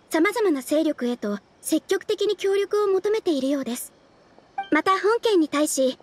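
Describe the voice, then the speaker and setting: A woman playing a polite, earnest young guild receptionist in a game dub, speaking formally and courteously. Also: high-pitched. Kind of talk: Japanese game dub, quest briefing dialogue.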